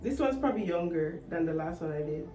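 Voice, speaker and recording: A woman's voice: fairly low pitch, lively intonation, fairly quick, noisy background. A woman in her thirties vlogging casually, sounding stuffy from the flu and laughing at herself as she chats. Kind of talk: casual travel vlog.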